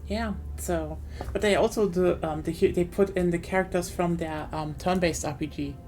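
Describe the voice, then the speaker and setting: Casual, opinionated woman, slightly low voice. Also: fairly even intonation, moderate pace, darkish tone. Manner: informal, opinionated. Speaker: award show host. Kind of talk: casual livestream commentary. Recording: faint music behind the voice. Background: faint music.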